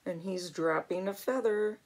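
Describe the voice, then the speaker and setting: An older woman, a grandmother, reading a picture book aloud in an expressive way and chatting to a young child with little exclamations. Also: on the low side, unhurried, background noise. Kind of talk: storybook read-aloud with chatty asides to a child.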